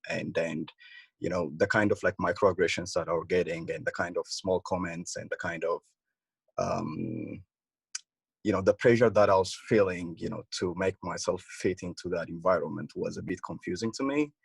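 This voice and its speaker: A young man from Ethiopia in a casual group discussion, talking haltingly with many fillers and restarts. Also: low-pitched, lively intonation, gravelly, slightly rough, no room sound. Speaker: participant.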